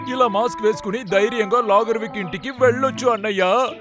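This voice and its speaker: A man voicing an eager, silly younger brother bear in a kids' cartoon, excitable and full of exclamations. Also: high-pitched, normal speed, over music, natural voice. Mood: eager, scheming. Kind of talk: dubbed kids' cartoon acting.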